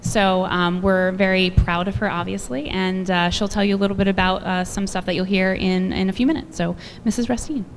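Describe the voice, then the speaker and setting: A woman who directs the band, hosting a school concert and speaking with many pauses and fillers. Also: medium pitch, fairly even intonation. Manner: warm-hearted, hesitant with many ums. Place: school auditorium. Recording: echoey hall with faint noise.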